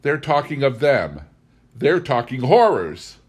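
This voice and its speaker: A man's voice: medium pitch, very animated, slow pace, breathy edge, voice pushed up, exaggerated voice. A man voicing an anxious, intense young governess in an audiobook, with insistent, fervent and emphatic speech. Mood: fervent insistence.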